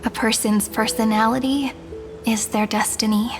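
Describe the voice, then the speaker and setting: Woman voicing a gentle young game heroine, soft, earnest, reflective, fairly high voice, music underneath. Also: fairly even intonation, moderate pace, close to an everyday voice. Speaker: game character. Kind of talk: game cutscene voice acting. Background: soft game score.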